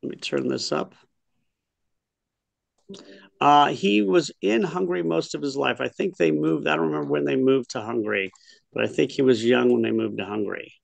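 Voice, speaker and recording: A man's voice: medium pitch, vocal fry, no echo. A middle-aged man teaching an adult history class over a video call, thinking aloud, correcting himself and quizzing his listeners. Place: home study with bookshelves. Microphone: webcam on a video call.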